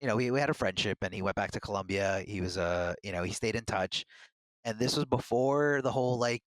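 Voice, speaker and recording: A man's voice: lowish voice, a little fast, no room sound. Earnest man, dry close sound. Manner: earnest, candid storytelling. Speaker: fighting game commentator, podcast guest. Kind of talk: casual podcast conversation.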